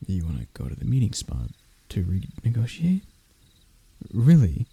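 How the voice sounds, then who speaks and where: Man playing a husky, dark-voiced lovesick royal heir. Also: on the low side, huge pitch swings, normal speed, no room sound, full character voice. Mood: stunned, overjoyed. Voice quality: husky, breathy. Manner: stammering, hesitant, breathy emotional pleading. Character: lovesick young royal heir, earnest, nervous, devoted. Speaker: voice actor in audio roleplay. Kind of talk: intimate ASMR romance roleplay acting.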